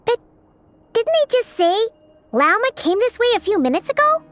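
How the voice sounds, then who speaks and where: A woman voicing a tiny, excitable floating companion who chatters about herself in the third person. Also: extremely high pitch, moderate pace, faint music behind, exaggerated voice. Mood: flustered. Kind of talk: game cutscene voice acting.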